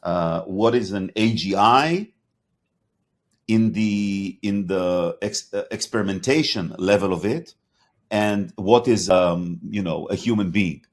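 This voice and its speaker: A man with a slight Hebrew accent hosting an interview podcast, talking in a halting way with many fillers and restarts. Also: deep voice. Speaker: podcast host. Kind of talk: interview conversation.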